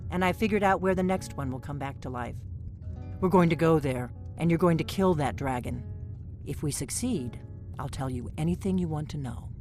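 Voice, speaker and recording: A woman's voice: low-pitched, moderate pace, a little mellow, soft background music, lightly acted. Woman voicing a secretive innkeeper spy. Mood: urgent. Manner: cautious, urgent, conspiratorial.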